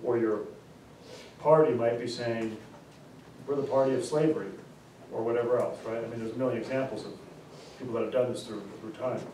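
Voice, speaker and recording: A man in his forties teaching a class, explaining terms through repeated examples and stressing key words slowly. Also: mid-range voice, steady tone, dark tone, a little hiss or hum. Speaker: instructor. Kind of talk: classroom lecture. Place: classroom, indoors. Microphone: far camera. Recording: echoey classroom from far off.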